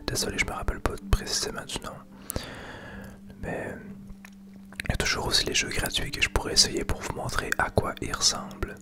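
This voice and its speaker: A man with a Québécois French accent whispering softly and unhurriedly to viewers in an ASMR gameplay commentary. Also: brisk. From Quebec. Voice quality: soft unvoiced whisper. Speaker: video game streamer making ASMR gameplay videos.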